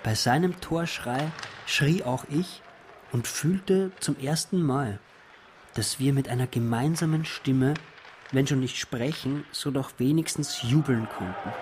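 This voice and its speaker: A man's voice: mid-range voice, background noise, lightly acted. An actor playing a melancholy taxi driver of about forty, recounting his life reflectively in a radio drama monologue. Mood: wistful reminiscence. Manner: measured, reflective delivery.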